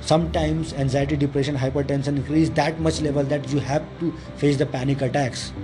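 An Indian-accented man, a native Hindi speaker, talks in a slightly high voice over clearly audible music. He delivers an informal self-help monologue, hammering points through repetition and lists in somewhat halting English. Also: fairly even intonation. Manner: repetitive, emphatic listing of points; halting, non-fluent English. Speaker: podcast host. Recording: music playing behind the voice. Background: clear background music.

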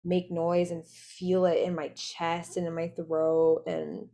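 A woman's voice: lowish voice, little pitch movement, slow, gravelly, dark tone. A woman hosting a podcast, chatting casually with loose "like" fillers. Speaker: podcast host. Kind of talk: casual interview chat.

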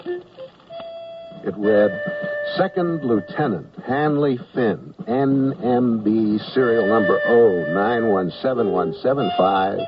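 A man with an American accent playing an earnest army private who wryly narrates his wartime memoir in a radio comedy. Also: mid-range voice. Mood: awed, formal. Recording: muffled 1979 radio broadcast, music behind.

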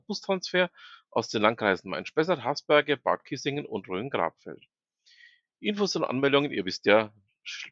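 A man's voice: mid-range voice, a bit bright, dry recording, dull audio. Man with numb, slurred dentist mouth. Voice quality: slightly slurred articulation. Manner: informal asides, addresses listeners directly. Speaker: newsletter podcast host. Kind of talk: reading regional news roundup.

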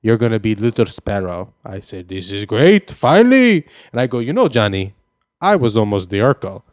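Male performer as a deluded has-been actor for comedy interview: foreign accent, melodramatic, self-important, swooping pitch, dry close sound. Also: sing-song, normal speed, dry recording. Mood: proud, wounded. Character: washed-up, self-deluded male foreign actor nursing faded showbiz dreams. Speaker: comedy podcast guest. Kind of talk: improvised in-character comedy interview.

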